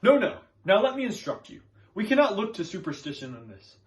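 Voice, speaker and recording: A man plays a scholarly witch-hunting reverend in a stage drama. The high voice is earnest and preacherly, leaning firmly on formal, archaic wording. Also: sing-song, brisk. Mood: stern, instructing.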